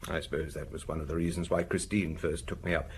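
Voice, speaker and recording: An actor playing a shaken, vain husband in a radio drama, speaking in a halting, anguished, defensive way. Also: male voice, rumbling low, level tone, a little hiss or hum. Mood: nervous, self-regarding. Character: shaken husband, a vain well-dressed provincial, wife found murdered.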